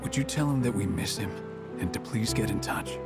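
An actor playing a mild, wistful middle-aged father in a game cutscene, measured and polite. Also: lowish voice, lively intonation, close to an everyday voice. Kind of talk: dramatic game cutscene acting. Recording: loud music over the voice.

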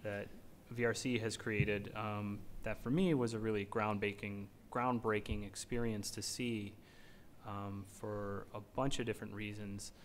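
A man's voice: lowish voice, fairly even intonation, a little slow, a little hiss or hum. A man moderating a conference panel from a podium, eager and searching for words. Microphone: podium mic.

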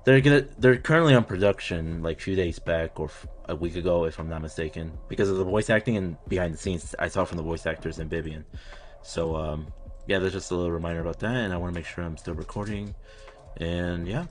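A young man in his twenties hosts a reaction video at home, chatting excitably and swearing. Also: deep voice, fast. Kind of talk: casual chat. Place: home room with curtains.

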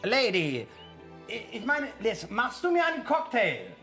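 An actor in a radio play playing a grumpy village constable who pompously dictates a crime novel, halting to correct himself. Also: male voice, very high-pitched, wildly swooping pitch, bright, forceful tone, soft background music, heavily acted. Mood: distracted.

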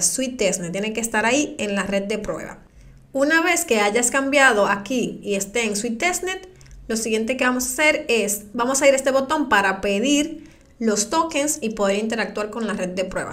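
A woman's voice: on the high side, a bit bright. Woman talking viewers through clicks. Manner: patient step-by-step walkthrough, addresses viewers directly. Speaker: crypto tutorial creator. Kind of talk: screen-recorded software tutorial. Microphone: voice-over on screen recording.

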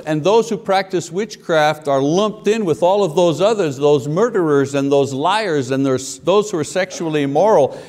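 A man in his fifties preaching a sermon at a church pulpit, animated and asking the congregation questions. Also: a little high. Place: church sanctuary at a wooden pulpit. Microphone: lapel mic. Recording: long hall reverb.

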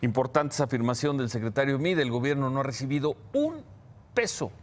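A man presenting the news from a TV studio, with lively, emphatic delivery. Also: mid-range voice, fairly quick, background noise. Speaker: news anchor. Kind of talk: newscast presenting.

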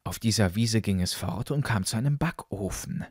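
A man narrating a fairytale audiobook as a storyteller, expressive and deliberate. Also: on the low side, husky, dry recording, clean studio sound.

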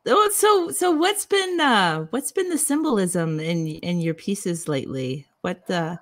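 A woman's voice: fairly low pitch, expressive, measured pace. A woman hosting a casual, conversational interview over a video call. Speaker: interview host.

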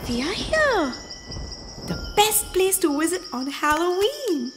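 Brief: eager, bossy cartoon girl; high and bouncy, music behind. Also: takes it slowly, a little hiss or hum, heavily acted. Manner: excited, bossy, playful. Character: bold cheerful girl Minnie, loves spooky adventures. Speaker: cartoon character.

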